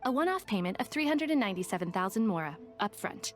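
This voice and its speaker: A woman voicing a shrewd, confident, money-minded detective in an anime video game, businesslike and deliberate. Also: mid-range voice, sing-song, faint music behind, lightly acted. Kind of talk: anime game voice acting, storytelling.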